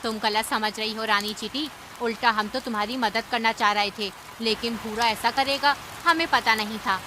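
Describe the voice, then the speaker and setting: A woman's voice: on the high side, steady tone, normal speed, lightly acted. A woman voicing a reasoning, admonishing female forest animal in a Hindi cartoon. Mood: placating. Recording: clear rain noise behind.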